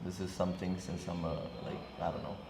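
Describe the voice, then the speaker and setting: A young man from Senegal, a guest DJ, talking casually in an interview with many ums and pauses. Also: low voice. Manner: relaxed, hesitant, many ums and uhs. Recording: echoey room with background noise.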